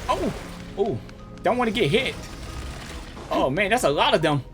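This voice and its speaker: Gamer girl reacting to a game, voice jumps around a lot, excited slangy talk, bit of music. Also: mid-range voice, moderate pace. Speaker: game streamer. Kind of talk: reaction commentary, reading dialogue aloud.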